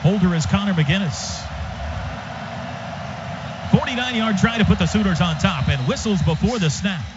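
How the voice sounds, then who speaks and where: High-pitched male play-by-play man, dull noisy feed. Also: lively intonation, moderate pace, pauses often. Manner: clipped, urgent calls in short phrases. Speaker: radio play-by-play announcer. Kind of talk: live football radio commentary. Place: radio booth at a football stadium. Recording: dull radio feed over stadium noise. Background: stadium ambience.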